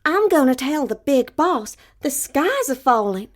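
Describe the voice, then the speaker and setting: Woman as an excited, high singsong little chicken. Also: unhurried. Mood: excited, urgent. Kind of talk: fairy tale storytelling.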